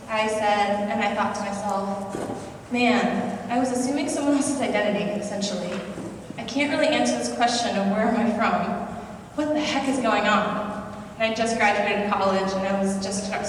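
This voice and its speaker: A woman's voice: medium pitch, noisy background. A young woman with an American accent, raised in Singapore, telling a personal story at a conference and acting out bits of dialogue in a conversational way. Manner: conversational, acts out dialogue with shifting voices. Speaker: conference speaker. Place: university conference hall. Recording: distant, echoey hall with reverb.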